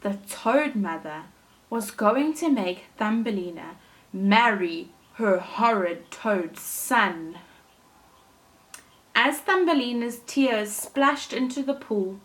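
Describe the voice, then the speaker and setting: Slow-paced woman reading a children's story. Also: a little high, rough recording. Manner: deliberate, animated storytelling with dramatic emphasis. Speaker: primary school teacher reading to her class. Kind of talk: expressive storybook read-aloud for young children.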